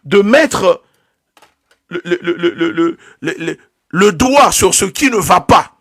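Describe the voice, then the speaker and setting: A man's voice: high-pitched, animated, takes it slowly, fairly bright tone, husky, dry recording. A man from Togo, delivering an impassioned political monologue and stumbling over repeated words as he searches for them. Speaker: activist.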